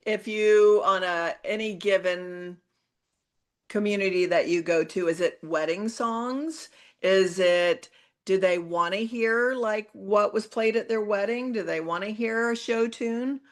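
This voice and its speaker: A woman's voice: medium pitch, unhurried. Curious woman co-hosting a podcast. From Georgia, US. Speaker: podcast co-host. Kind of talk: casual podcast chat.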